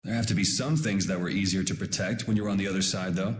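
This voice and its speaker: A man's voice: low-pitched, fairly even intonation, fast talker, slight background noise, natural voice. An actor playing a cool, sardonic young swordsman in a video game, talking in a dry, casual way. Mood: cool, thoughtful. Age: young adult. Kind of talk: dramatic video game voice acting. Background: background music.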